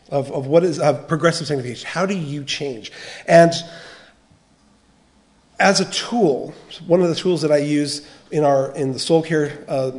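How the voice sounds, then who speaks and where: A man's voice: a little high, darkish tone. A middle-aged American man from the United States, giving an earnest church teaching talk on counseling, often restarting his phrases. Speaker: biblical counseling trainer.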